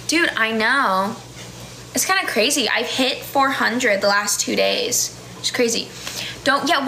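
Young american woman, live-stream chat, laughs often, clear bright voice, faint room hum. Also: sits high. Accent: General American. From United States. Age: 20s. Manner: chatty, laughs often, many "like" fillers. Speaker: livestream host. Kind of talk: casual live-stream chat reading viewer comments. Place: small indoor room. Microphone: phone camera close up.

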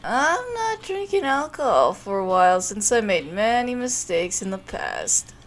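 A woman's voice: medium pitch, sing-song, a little slow, faint music behind. A woman voicing a tipsy, teasing young woman in a comic dub, playful and wheedling. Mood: tipsy, breezy.

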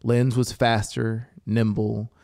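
Man, thirties, low-pitched, gravelly, dramatic deliberate story narration, close studio mic. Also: little pitch movement, a little mellow, dry recording. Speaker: audiobook narrator. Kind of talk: scripted story narration over soundscape. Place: small home music studio, indoors. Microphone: close studio microphone with headphones. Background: atmospheric synth music.

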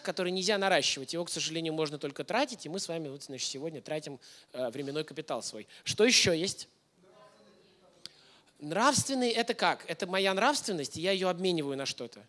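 A man's voice: sits high, animated, fast talker, a bit bright. A man, likely in his thirties, lecturing conversationally to an audience in an informal way, often putting questions to his listeners. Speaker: lecturer giving a masterclass. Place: indoor hall, on stage before a projection screen.

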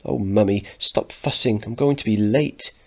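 A man's voice: fairly low pitch, moderate pace, gravelly, pitched above the performer's own voice. A man voicing an awkward, polite upper-class fourteen-year-old boy, mildly exasperated, in a dramatic play reading.

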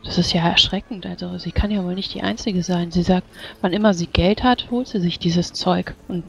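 A woman's voice: fairly low pitch, steady tone, normal speed, gravelly, slight background noise. A woman playing a compassionate, appalled fantasy adventurer in a concerned, conversational way during a tabletop role-play, on slightly muffled audio.

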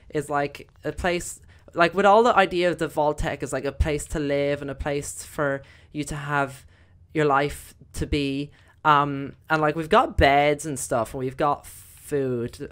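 Croaky-voiced woman rambling over gameplay. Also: sits low. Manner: chatty, rambling, many fillers. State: croaky, voice recovering. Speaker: gaming video host. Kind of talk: casual gameplay commentary.

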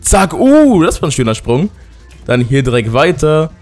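A hoarse man with a cold, giving excited let's-play commentary and often breaking into loud outbursts. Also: a little high, moderate pace, faint music behind. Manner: excitable, loud outbursts, keeps addressing viewers. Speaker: gaming YouTuber.

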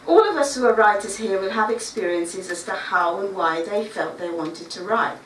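A middle-aged woman from Guyana with a Guyanese accent, reading a prepared talk in a measured, reflective way with a few chatty asides. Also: medium pitch, animated, a bit bright, slight background noise. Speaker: writer giving a talk. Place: small dark stage before a seated audience. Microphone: stand mic, filmed by a far camera. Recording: roomy, distant camera recording.

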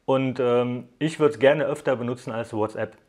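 A man's voice: mid-range voice, normal speed, darkish tone. A young man giving a casual, conversational hands-on review to viewers, explaining things informally as he goes. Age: young adult. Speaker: tech app reviewer. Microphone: lapel mic.

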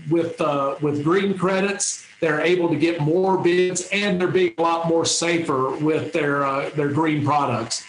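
A man's voice: fairly high pitch, a little hiss or hum. A middle-aged man answering interview questions over a video call in an easygoing, folksy way from a home office. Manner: easygoing, folksy, frequent restarts. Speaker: territory manager, interview guest. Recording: echoey room on a video call.

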